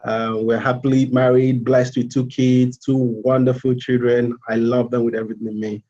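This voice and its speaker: A man in his thirties from Nigeria with a Nigerian accent, a student giving a casual live presentation, halting with fillers and repeats. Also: medium pitch, level tone, mellow, lo-fi sound. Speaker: MBA student.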